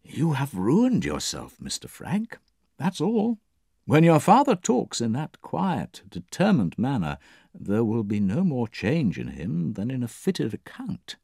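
A man's voice: medium pitch, huge pitch swings, unhurried, gravelly, a little mellow, breathy edge, no room sound, studio quality, pitched above the performer's own voice, heavily acted. A man voicing a sympathetic, dejected clerk who speaks in a mournful, reproachful way. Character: dejected older male clerk, sympathetic and grave.

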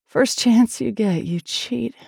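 A woman voicing an anguished, defiant female lover in an audiobook, breathy and emotional, accusing and pleading. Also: on the high side, very slow, dark tone, no echo, pitched above the performer's own voice, full character voice. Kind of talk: audiobook character dialogue.

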